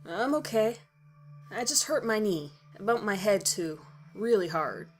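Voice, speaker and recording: A woman's voice: mid-range voice, animated, measured pace, a little hiss or hum, natural voice. A woman voicing a self-deprecating young man, the protagonist of a horror story. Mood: pained reassuring.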